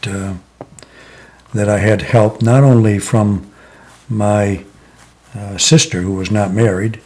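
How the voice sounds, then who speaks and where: An older man in his seventies from Connecticut, reminiscing slowly in a sit-down oral history interview, with many pauses and "uh" fillers. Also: fairly low pitch, fairly even intonation, dark tone. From Connecticut, USA. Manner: slow, reflective, many "uh" pauses. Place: living room, seated in armchair.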